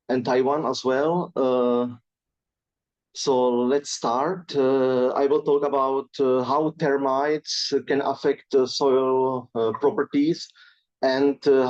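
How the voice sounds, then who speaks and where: A man from the gives an academic webinar talk in mid-pitched, careful English. He fills his pauses with steady "uh"s as he works through his slides. The sound comes through a dull, lo-fi video call line. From Czech Republic. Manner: hesitant, many filler sounds, careful non-native phrasing. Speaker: researcher presenting a webinar.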